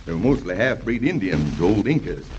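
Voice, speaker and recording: A man's voice: lowish voice, very animated, normal speed, darkish tone. A man playing a hearty, modest middle-aged American bridge engineer in an old children's radio serial, folksy and fatherly with hesitant turns. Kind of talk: 1930s-40s children's radio serial acting. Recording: muffled old radio broadcast with hiss.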